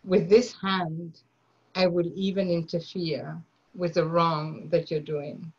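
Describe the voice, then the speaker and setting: Argentine woman, low voice, unhurried trainer on a muffled video call. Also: level tone, a little mellow, breathy edge. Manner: slow, deliberate, earnest delivery. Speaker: nonviolence trainer. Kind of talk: online workshop teaching. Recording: dull lo-fi online audio.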